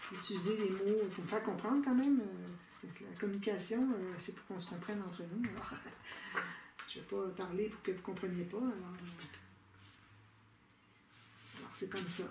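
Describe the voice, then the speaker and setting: A woman's voice: mid-range voice, recorded from a distance. Québécois woman crafting, roomy off-mic sound. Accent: Québécois French. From Quebec, Canada. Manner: chatty, thinks aloud with many "euh" fillers and small laughs. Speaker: scrapbooking tutorial host. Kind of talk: casual crafting tutorial with chatty asides. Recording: roomy, off-mic, with loud background noise.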